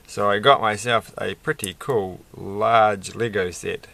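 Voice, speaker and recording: A middle-aged man with a croaky voice, chatting casually and haltingly through a hobby build, often searching for words. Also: on the low side, fairly even intonation, sharp tone. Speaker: Lego build video creator. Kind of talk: casual hobby vlog, unscripted commentary while building. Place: indoors at a desk.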